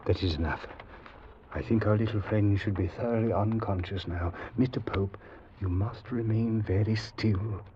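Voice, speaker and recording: An actor playing a calm, precise doctor who speaks formally and carefully in a 1940s radio drama. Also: male voice, lowish voice, normal speed, slight background noise, close to an everyday voice. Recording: dull old radio recording.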